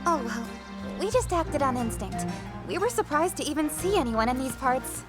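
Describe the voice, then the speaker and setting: A woman's voice: sits high, expressive, moderate pace, over music. A young woman playing a poised, courteous monster rider in a game cutscene, polite and articulate. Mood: polite, composed.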